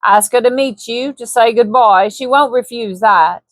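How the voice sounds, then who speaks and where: A woman's voice: fairly high pitch, unhurried, no echo, natural voice. A woman doing a sly Cockney trader. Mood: wheedling. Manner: sly, persuasive, ungrammatical. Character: scheming coarse male trader, hunter.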